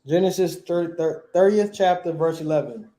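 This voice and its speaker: A man's voice: a little high, moderate pace, echoey room, noisy background. A man teaching a class, quizzing his students and repeating key words.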